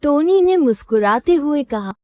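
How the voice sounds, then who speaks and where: A woman reading a scripted children's moral story as storyteller, in a measured, instructive manner. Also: high voice, lively intonation, a little mellow, no room sound.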